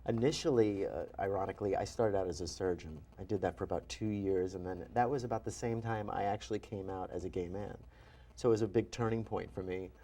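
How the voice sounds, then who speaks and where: A man in his forties from New York, a physician and author, talking in an animated, self-deprecating way as an interview guest. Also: low voice, quick pace, a little hiss or hum. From New York, USA. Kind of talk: interview conversation.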